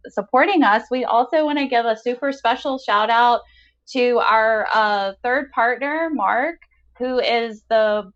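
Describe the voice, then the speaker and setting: Female podcast host, gushing and keen, conversational delivery, somewhat dull recording. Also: fairly high pitch. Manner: effusive, enthusiastic. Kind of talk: casual podcast chat.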